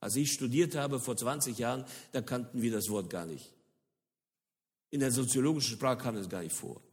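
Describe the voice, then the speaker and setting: A middle-aged man from the former Soviet Union gives a lively lecture-style sermon on church mission. He repeats words for emphasis and hesitates often. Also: medium pitch, moderate pace. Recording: long hall reverb.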